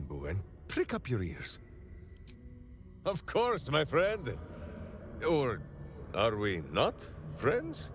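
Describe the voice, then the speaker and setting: An actor playing a big, simple-hearted heroic warrior in a video game, righteous and grandiose, speaking in a theatrical manner. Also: male voice, mid-range voice. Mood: challenging. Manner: theatrical, grandiose, speaks of himself in third person. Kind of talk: video game character acting.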